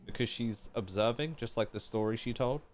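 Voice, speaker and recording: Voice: medium pitch, brisk, a little hiss or hum. A game streamer giving casual live reaction commentary with playful, mock-dramatic interjections.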